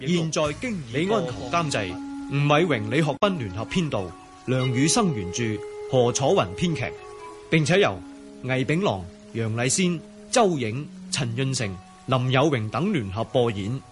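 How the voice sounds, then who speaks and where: A man's voice: mid-range voice, very animated, moderate pace. A man with a Hong Kong Cantonese accent announcing scripted credits for a radio drama. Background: music.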